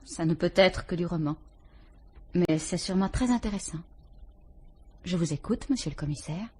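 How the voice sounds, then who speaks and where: A young actress with a French accent playing a composed murder suspect who answers guardedly and with faint mockery in a vintage radio drama. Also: female voice, on the low side, lively intonation, normal speed, darkish tone, breathy edge. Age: young adult. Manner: cool, guarded, faintly mocking.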